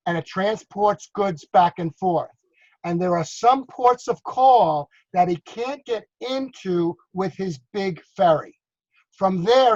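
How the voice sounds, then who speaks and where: A man's voice: sits high, a little mellow, no room sound. Rambling man on a dull call line. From New York. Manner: rambling, repeats words, asks for agreement. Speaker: drone business consultant. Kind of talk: casual video call discussion.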